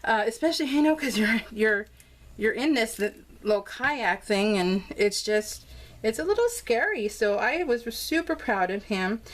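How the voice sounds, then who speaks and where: A woman's voice: on the high side, animated, moderate pace. Bubbly, rambling American woman. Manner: bubbly, gushing, giggly, rambling. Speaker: crafting vlogger showing scrapbook pages. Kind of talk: casual unscripted craft vlog chat.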